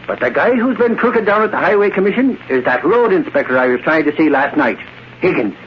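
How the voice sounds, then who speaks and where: An actor playing a cocksure, excitable reporter in an old-time radio drama, speaking in an emphatic, folksy way. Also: male voice, a little high, fairly quick, natural voice. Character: blustery, cocksure male newspaper reporter, eager and excitable. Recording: muffled, noisy vintage radio recording.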